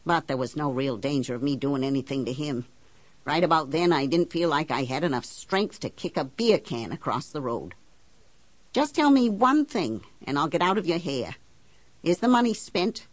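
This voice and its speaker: A woman's voice: low voice, animated, moderate pace, no room sound. A woman playing a blunt, embittered working-class island housewife who tells her story in folksy, ungrammatical country talk for an audiobook. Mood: insistent, pleading. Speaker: audiobook narrator. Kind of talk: first-person storytelling in character.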